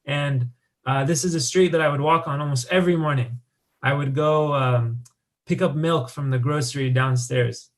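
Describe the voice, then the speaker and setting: A man's voice: on the high side, normal speed. Conversational American man giving a talk. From United States. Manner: loose and conversational, many fillers and restarts. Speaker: conference speaker. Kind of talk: conference presentation with slides.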